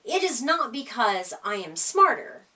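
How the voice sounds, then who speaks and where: A woman's voice: on the high side, very animated, measured pace, pushed and bright, recorded from a distance, full character voice. A woman voicing a small, cheerful, modest female mouse in a storybook, eagerly explaining in short sentences.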